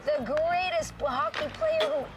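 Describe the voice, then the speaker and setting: A woman's voice: very high-pitched, big pitch swings, unhurried, recorded from a distance, heavy background noise. A woman hosting a children's show, reading a storybook expressively with dramatic little interjections and questions for young listeners.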